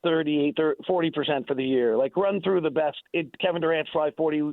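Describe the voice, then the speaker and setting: A man's voice: a little high, fairly even intonation, fast talker, vocal fry, no room sound. An American man doing unscripted sports analysis on radio, insistent and emphatic, often repeating himself, over a thin phone line. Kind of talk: unscripted sports debate and commentary.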